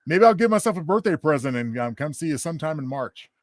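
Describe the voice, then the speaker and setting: A man with a slightly high voice chats as a co-host. He is easygoing and supportive, and the sound is close and dry. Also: expressive, fast, no room sound. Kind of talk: casual chat.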